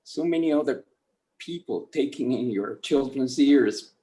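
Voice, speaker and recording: Guy doing humble old grandpa, really slow and hesitant, mid pitch. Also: warm tone, natural voice, rough recording. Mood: humble pleading. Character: humble, ashamed old Mexican grandfather pleading gently. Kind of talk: screenplay table read.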